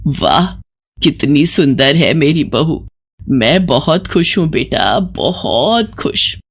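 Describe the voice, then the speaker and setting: A woman voicing a doting middle-aged village mother who scolds fondly and questions excitably in a moral story. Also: sits low, slow pace, slight background noise, exaggerated voice. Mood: delighted. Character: doting, fussing village mother, eager for son's wedding.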